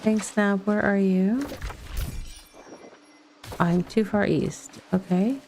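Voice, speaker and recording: A woman giving casual let's play commentary and chatting with viewers in a rambling, halting way. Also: medium pitch, fairly even intonation, dark tone, a little hiss or hum. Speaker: game streamer.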